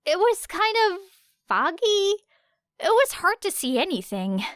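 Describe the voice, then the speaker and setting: A woman voicing an excitable, easily confused teenage boy in an audiobook, with casual, exclamatory slang. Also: extremely high pitch, a little slow, no room sound, clean studio sound, pitched above the performer's own voice, exaggerated voice. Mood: unsure. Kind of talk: audiobook character voice.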